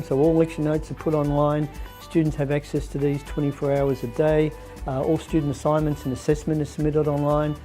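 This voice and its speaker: A man's voice: on the high side, mellow. A man speaking as a college staff member in a promotional interview. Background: background music.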